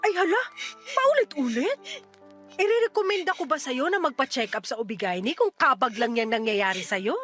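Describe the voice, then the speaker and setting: High-pitched, animated, doting Filipina mother. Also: normal speed, soft background music. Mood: breezy, excited. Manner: breezy, teasing, expressive. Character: flighty, doting middle-aged mother, brash and tender. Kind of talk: Tagalog radio drama acting.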